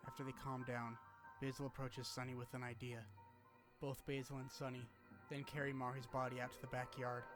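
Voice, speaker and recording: A man reading scripted narration that retells a video game's story. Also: medium pitch.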